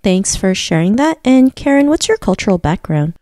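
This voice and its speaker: A woman's voice: mid-range voice, very animated, moderate pace, dark tone, no echo, clean studio sound. A woman hosting a podcast, greeting listeners and asking interview questions in an upbeat, formulaic way. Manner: upbeat, formulaic, repeats "thanks for sharing that". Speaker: podcast host.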